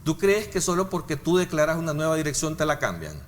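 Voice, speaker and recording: A man's voice: fairly high pitch, very animated, fairly quick, slight background noise. A man from El Salvador with a Salvadoran Spanish accent, arguing a legal point in a political discussion and walking through examples. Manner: argumentative, gives worked examples.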